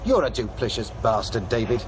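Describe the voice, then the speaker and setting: An actor playing a shrewd, doubtful radio colleague with a dry, probing, matter-of-fact manner in an audio drama. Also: male voice, medium pitch, big pitch swings, quick pace, background noise, natural voice. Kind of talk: naturalistic audio drama dialogue.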